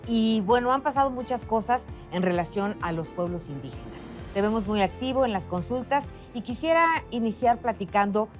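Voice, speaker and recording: Woman, TV interview host, poised and articulate, mid-pitched, faint music underneath. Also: darkish tone. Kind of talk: scripted TV opening and interview questions.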